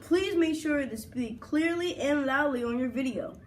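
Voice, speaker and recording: A woman's voice: high voice, moderate pace, slight background noise. A young girl anchoring a school news broadcast, reading her script aloud.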